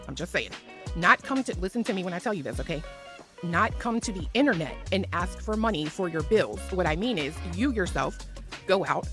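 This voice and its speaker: A woman's voice: on the low side, a bit bright. A woman delivering a confrontational online rant in a brash, sarcastic, sweary manner. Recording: clip with clear background music. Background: music.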